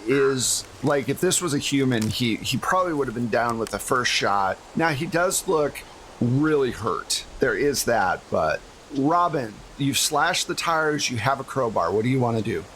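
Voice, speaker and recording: Guy running a tabletop game, mid pitch, chatty explainer, bit of noise behind him. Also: animated, moderate pace, fairly bright tone, low-quality recording. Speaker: game master. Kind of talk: casual tabletop actual-play narration.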